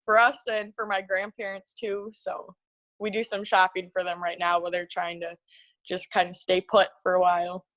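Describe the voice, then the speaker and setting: A woman's voice: on the low side, flat delivery, moderate pace, a bit bright, dry recording, rough recording. A woman of about twenty with an American accent, chatting casually as a college athlete guest. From Minnesota, United States. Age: around 20. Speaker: guest, college tennis player. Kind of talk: casual chat.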